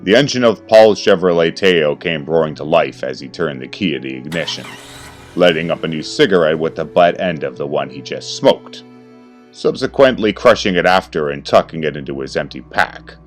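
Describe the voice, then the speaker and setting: A man's voice: extremely low pitch, animated, normal speed. A man reading a scripted horror story as its narrator. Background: faint music.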